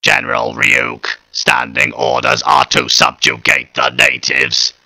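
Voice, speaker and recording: A man's voice: mid-range voice, flat delivery, takes it slowly, creaky, pushed and bright, dry recording, full character voice. A man voicing a second alien war machine with a harsh, cold voice in a storybook reading. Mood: menacing.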